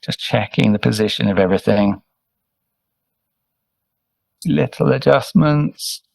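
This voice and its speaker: A man leading a guided meditation as a teacher, speaking gently and soothingly in short, unhurried instructions with pauses. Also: medium pitch, creaky, no room sound. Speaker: meditation teacher, Buddhist monk.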